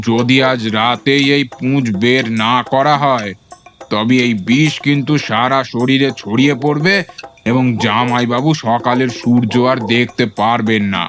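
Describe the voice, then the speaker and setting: A man's voice: medium pitch, lightly acted. A man playing a self-important, alarmist village quack doctor in a comedy audio drama, speaking in grave, pompous pronouncements. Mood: grave, alarming.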